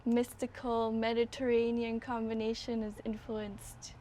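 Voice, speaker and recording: A woman hosting a conversation, introducing her guest and asking gentle, thoughtful questions. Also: a little high, little pitch movement, a little slow, slight background noise. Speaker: podcast host. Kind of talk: interview hosting.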